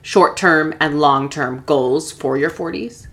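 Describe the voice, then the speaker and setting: A woman's voice: low voice, slow, fairly bright tone. A woman in her thirties in casual conversation, upbeat, chatty and supportive. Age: 30s. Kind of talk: casual chat.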